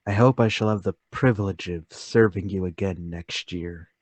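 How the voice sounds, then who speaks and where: A man playing a meek, anxious, polite salesman, husky and hesitant, in a radio play table read. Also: low voice, normal speed, darkish tone, no room sound. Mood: deferential. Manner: deferential, hesitant.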